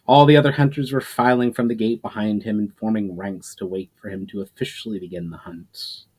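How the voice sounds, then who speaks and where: A man narrating a fantasy story as its author, with measured, emphatic phrasing that falls away at sentence ends. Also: fairly low pitch, fairly even intonation, darkish tone, husky. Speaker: writer reading own story to channel viewers.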